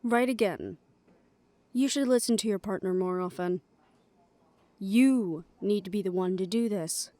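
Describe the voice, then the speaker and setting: A woman's voice: mid-range voice, a little slow, long pauses, dark tone, no echo, natural voice. A woman playing a supportive, protective big-sisterly character, firm and dryly sardonic, in an audio roleplay drama. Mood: supportive, frank.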